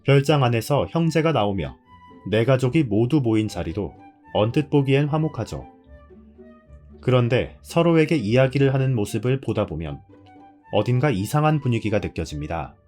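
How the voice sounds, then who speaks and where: A man's voice: medium pitch, very animated, normal speed, darkish tone, faint music behind. A man hosting and reading a scripted narration. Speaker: host.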